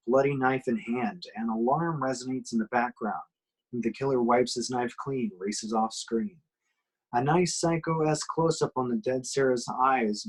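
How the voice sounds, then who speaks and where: A man's voice: medium pitch, husky, low-quality recording. A man reading scripted action descriptions from a screenplay at an amateur table read.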